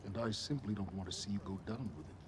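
An actor playing a middle-aged corporate chief in a screen drama, speaking in a measured, formal and coolly insistent way. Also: male voice, fairly low pitch, noisy background, close to an everyday voice. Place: large industrial warehouse.

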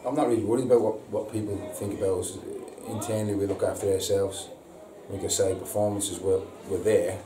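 A man, a football manager giving a halting post-match interview full of repeated words and ums. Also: lowish voice, flat delivery. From England. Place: indoor press room before a sponsor board. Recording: echoey room, distant mic, audible hiss.

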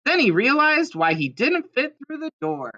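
Bright, animated woman reading a storybook. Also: high voice, moderate pace, highs cut off. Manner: animated, dramatic emphasis on key words. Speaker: storybook reader. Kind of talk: expressive children's storybook read-aloud.

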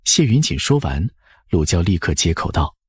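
Low-voiced, husky man narrating a novel. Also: big pitch swings, moderate pace, no room sound, studio quality. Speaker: audiobook narrator.

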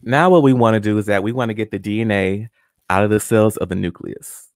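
A man's voice: fairly low pitch, fairly quick, darkish tone, dry recording. A man in his forties, a guest scientist, friendly and step by step, walking viewers through a hands-on experiment at home. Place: small home room. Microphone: studio condenser mic with pop filter and headphones.

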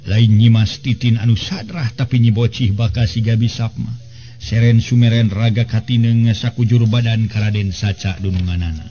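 A male storyteller with a Sundanese accent narrates a folk tale in a low, very dark voice. The sound is slightly dull, with music underneath.

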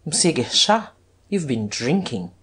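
Haughty aristocratic duke, acted by an audiobook reader, mocking, cool, very animated intonation, husky edge. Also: medium pitch, normal speed. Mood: icy. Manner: haughty, icy, teasing.

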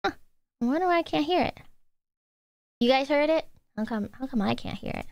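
A woman's voice: high voice, expressive, fairly quick, gravelly, darkish tone, no echo, studio quality. A young woman streaming a game, chatting casually with her viewers, playful and easily exasperated. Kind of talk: casual live-stream gameplay chat.

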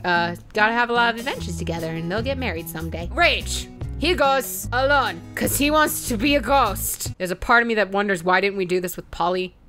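Bright, high-pitched woman recapping a game. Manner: giggly, theatrical, mimicking characters in quoted dialogue; profane, slangy. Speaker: let's play host. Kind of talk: animated casual storytelling recap of a video game.

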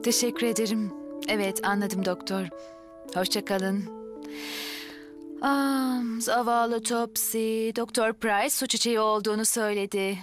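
An actress playing a caring young babysitter in a dubbed children's drama, speaking in a gentle, attentive and reassuring way. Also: female voice, fairly high pitch, steady tone, unhurried, music in the background, close to an everyday voice. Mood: soothing, concerned. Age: young adult. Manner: soothing, attentive.

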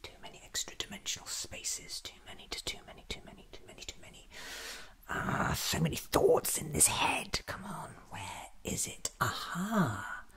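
A man in his thirties doing an ASMR roleplay as a scatterbrained, excitable alien doctor, muttering to himself in fragments, often in a whisper. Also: high voice, normal speed, vocal fry. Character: scatterbrained, excitable time-travelling alien doctor, newly regenerated. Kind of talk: ASMR roleplay acted as a monologue.

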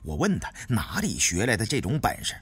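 A man's voice: lowish voice, wildly swooping pitch, fairly quick, a bit bright, husky. A man reading a novel aloud as a dramatised audiobook narrator. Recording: music behind the reading.

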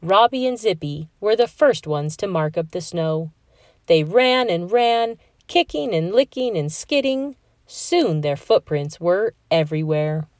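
A woman reading a children's story aloud in a measured, expressive way. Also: on the low side, dry recording, studio quality. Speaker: storybook reader. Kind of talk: children's read-aloud narration.

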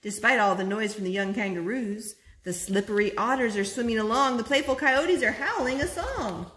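A woman in her thirties reading a picture book aloud to small children in an expressive, singsong way at home. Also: on the high side, normal speed. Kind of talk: storytime read-aloud. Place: living room at home, on a sofa. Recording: roomy, noisy home video recording.

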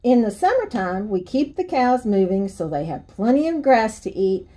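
A woman's voice: mid-range voice, sing-song, normal speed, mellow. A woman voicing a courteous, proud male cowboy monster in a storybook, formal and hearty.

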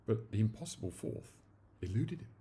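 Male narrator, 40s, very low voice with lively swoops, theatrical comic storytelling. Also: normal speed. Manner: theatrical, animated. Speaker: story reader. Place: small indoor room at home.

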